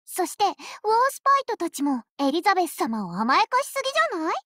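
A woman's voice: very high-pitched, wildly swooping pitch, fast, pushed and bright, dry recording, studio quality, full character voice. A woman voicing a haughty, girlish young fleet leader in an anime game, pompous and commanding but easily flustered. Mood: grumbling. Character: haughty young warship girl, self-styled charismatic fleet leader. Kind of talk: Japanese anime game character voice lines.

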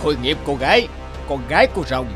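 A sly, blustering chancellor, the villain of a cartoon fairy tale. His voice is highish and swoops about animatedly over background music. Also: very animated, quick pace.

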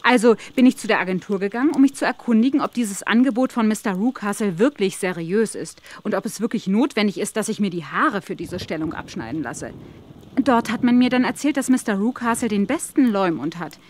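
A woman's voice: medium pitch, expressive, a little fast, slight background noise, natural voice. An actress playing an uneasy, earnest and polite young woman in a German radio play. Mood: anxious, earnest. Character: anxious, polite young woman seeking a position.

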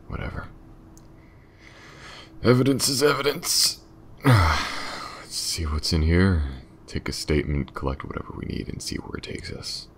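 A gruff detective in an audio roleplay, acted by a man, with an extremely low voice full of fry. He is wary and dryly sardonic, muttering his way through halting thoughts. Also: big pitch swings, slight background noise, full character voice. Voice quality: gravelly.

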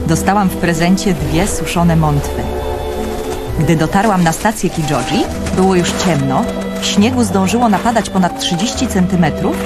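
Actress, female storyteller, younger sister, slightly low voice, wry, radio play, background music. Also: natural voice. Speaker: narrator.

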